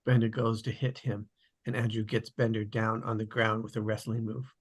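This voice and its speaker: A man's voice: on the low side, little pitch movement, moderate pace. A man narrating, reading out stage directions at a script table read.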